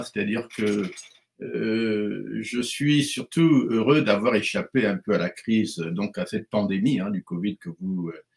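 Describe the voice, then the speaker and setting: Gravelly middle-aged man, courteous guest. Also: on the low side. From Gabon. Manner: courteous, measured, formal address. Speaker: guest professor. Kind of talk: interview answers.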